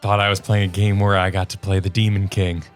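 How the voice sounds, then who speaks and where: Lowish-voiced man in casual gaming commentary. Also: little pitch movement, fairly quick. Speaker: co-host of a gaming reaction show. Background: music behind the voice.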